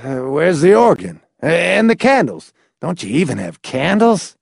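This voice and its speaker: A man's voice: on the high side, big pitch swings, a little slow, vocal fry, breathy edge, no room sound, clean studio sound, pitched above the performer's own voice, heavily acted. A man voicing a desperate grown man who pleads in distress. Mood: dismayed. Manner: imploring, distraught.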